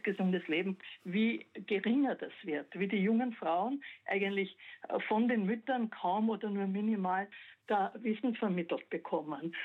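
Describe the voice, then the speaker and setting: Insistent woman caller, lecturing tone, dull phone sound. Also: medium pitch. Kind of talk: call-in radio comment. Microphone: telephone line. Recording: muffled phone line.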